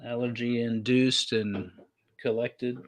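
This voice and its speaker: A man's voice: medium pitch, flat delivery, takes it slowly, poor audio. A man with a folksy, informal manner, chatting casually as a podcast co-host. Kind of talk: casual chat.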